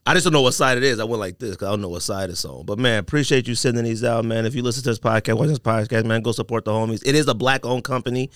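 A man's voice: medium pitch, very fast, hardly any pauses, a bit bright, no room sound, studio quality. Slangy man hosting a casual podcast. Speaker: podcast host. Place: podcast studio.

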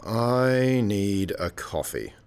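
A man plays a sluggish academic colleague in a radio play. He speaks very slowly in a smooth, clear voice. His manner is terse. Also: on the low side, expressive, a little mellow, full character voice. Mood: sleepy. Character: sluggish male academic colleague needing coffee.

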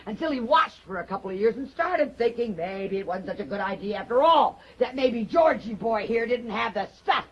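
An actress playing a bitter, taunting middle-aged wife in a stage drama, mocking and theatrical with drawn-out emphasis. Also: female voice, fairly high pitch, lively intonation, husky, highs cut off. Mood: goading. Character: bitter, taunting middle-aged wife telling stories about her husband.

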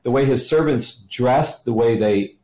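A man's voice: medium pitch, a little slow, dark tone. Mature man in an echoey room. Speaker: podcast guest, ministry leader. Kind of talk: conversational interview answers. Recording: roomy, echoey sound.

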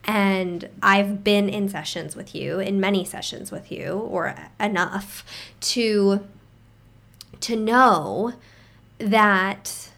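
A woman's voice: mid-range voice, slow pace, creaky, a bit bright. A woman with a mid-range voice hosts a conversation, speaking in a casual, affirming way. She sums up her guest's points with enthusiasm and adds her own experiences. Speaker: podcast host.

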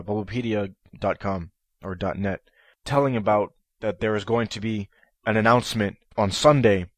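Male solo podcast host, nasal from a head cold, eager with lots of ums, slightly low voice, dry close sound. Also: no room sound. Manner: eager, with frequent ums and restarts. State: stuffy nose from a head cold.

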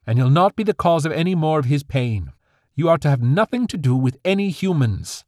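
A man's voice: on the high side, animated, brisk, a little mellow, no echo, clean studio sound, voice pushed up, lightly acted. A man voicing a strict fairy mother, speaking in a stern, emphatic, scolding way.

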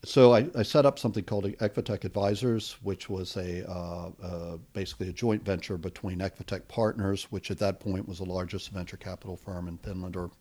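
A middle-aged man, a venture capitalist guest, answering interview questions in a relaxed, rambling way with many fillers. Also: deep voice, fairly quick, hardly any pauses. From United States.

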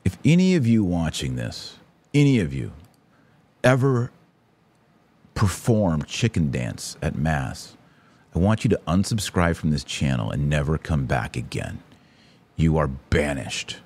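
A man's voice: very deep voice, big pitch swings, unhurried, long pauses, a little mellow, slightly rough. A man hosting a live podcast, giving opinionated commentary and answering listeners in an emphatic, preacherly, indignant way. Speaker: podcast host.

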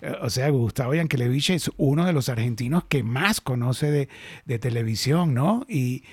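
A man's voice: mid-range voice, animated, moderate pace, breathy edge, no echo. A middle-aged Venezuelan man hosting a casual industry chat, talking animatedly with many restarts. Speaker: host.

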